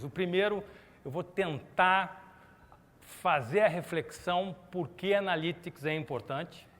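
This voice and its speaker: A middle-aged Brazilian man giving a chatty conference keynote, polling the audience with questions. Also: a little high. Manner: animated, chatty, asks the audience to raise hands. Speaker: conference speaker. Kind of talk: lively corporate keynote talk with audience questions. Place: conference hall. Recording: long reverb of a conference hall.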